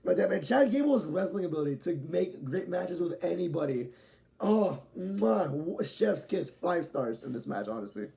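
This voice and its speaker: A young man talks through a wrestling review in a loose, rambling way, restarting his sentences and swearing now and then. His voice sits a little high for a man, yet it has a mellow, darker tone with plenty of gravelly fry underneath. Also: big pitch swings, normal speed. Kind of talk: casual spoken review to camera. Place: home room with dogs nearby.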